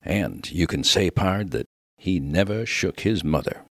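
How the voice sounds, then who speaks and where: A man voicing a rough, good-natured Old West miner with a rustic American accent and a slangy, emphatic way of talking in a humorous story. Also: low voice, expressive, a little slow, a bit bright, dry recording, clean studio sound, exaggerated voice. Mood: fervent, admiring. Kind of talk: dramatic reading of a humorous story.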